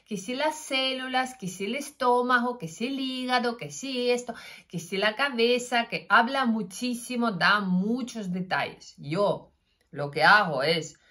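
A middle-aged woman with a Russian accent, talking casually to her phone camera about health, gesturing and pausing with fillers. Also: fairly high pitch. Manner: animated, gesturing, many fillers and restarts. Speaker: health vlogger. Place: indoors, plain room with curtain backdrop. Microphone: phone camera, front-facing.

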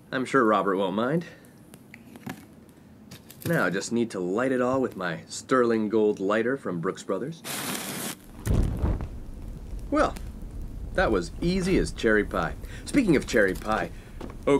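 A man's voice: on the low side, slight background noise. An actor playing a smug, spoiled, lecherous 1950s college man in a comedic radio play, talking in a pompous, mock-philosophical way. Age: young adult.

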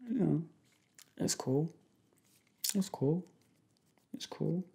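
A young man in a casual, improvised boyfriend roleplay, speaking informally in slangy, halting, rambling phrases. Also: a little high, creaky, dark tone. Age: young adult. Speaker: ASMR roleplay performer playing a protective boyfriend. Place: small indoor room at home.

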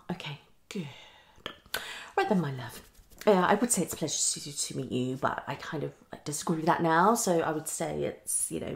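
A woman's voice: deep voice, gravelly. A young woman playing a hasty, tactless female psychologist in an ASMR roleplay, half whispering in a condescending, hesitant way. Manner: fast switching, hesitant repetitions, condescending. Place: small living room, indoors.

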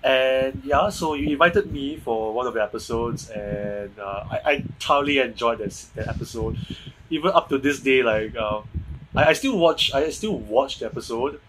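Podcast host, 20s, male, Singaporean English, chatty and rambling, recorded with clear background hiss. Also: medium pitch, moderate pace. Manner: chatty, frequent restarts and fillers. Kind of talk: casual video-call chat. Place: home room with shelves. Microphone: earphone mic on video call.

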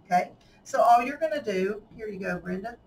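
A woman's voice: on the high side, lively intonation, fairly quick. A woman with a Southern US accent demonstrating a paper craft at a table, talking in a folksy, chatty way and laughing often. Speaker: craft tutorial host. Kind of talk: casual hands-on craft demonstration. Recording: slightly distant mic, faint room noise.

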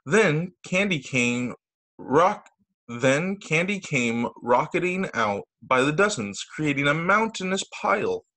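Expressive male teacher reading a children's story. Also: fairly high pitch, slow. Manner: expressive, sing-song storytelling for young children. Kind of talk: children's story read-aloud. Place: indoors, on a video call. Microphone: video call microphone.

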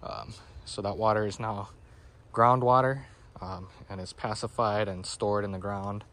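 A man giving a relaxed walking tour of his garden outdoors, with lots of "um, you know," filmed on a handheld phone. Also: fairly low pitch, unhurried. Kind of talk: casual walking tour explanation. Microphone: phone camera held by the speaker.

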